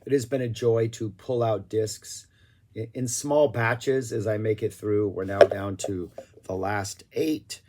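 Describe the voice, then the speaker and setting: A man casually reviewing live concert recordings, opinionated and chatty, with a slightly rough voice and occasional throat clearing. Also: lowish voice, steady tone, measured pace, a little mellow, slight background noise. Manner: chatty, opinionated, loose with filler words. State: throat a little rough, clearing it now and then. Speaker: music review vlogger. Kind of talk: casual spoken album review.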